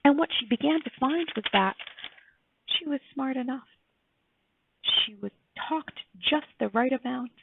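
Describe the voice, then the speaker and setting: A woman reading her own fairy tale aloud in an earnest, emphatic storybook style with dramatic pauses. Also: high voice, expressive, vocal fry, very noisy. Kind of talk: expressive storybook read-aloud. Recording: tinny phone-like, roomy echo.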